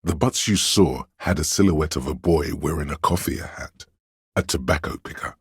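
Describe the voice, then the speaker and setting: A man voicing a pedantic inner voice of encyclopedic knowledge in a game, narrating lore in a measured, learned, didactic way. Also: rumbling low, husky, dry recording, clean studio sound, full character voice. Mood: scholarly. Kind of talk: scripted narration, delivering trivia.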